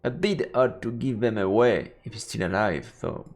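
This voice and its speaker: A man's voice: on the low side, expressive, normal speed. A man live-streaming a game, reading its text aloud and commenting informally, stumbling a little over the words. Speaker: let's play streamer. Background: game music.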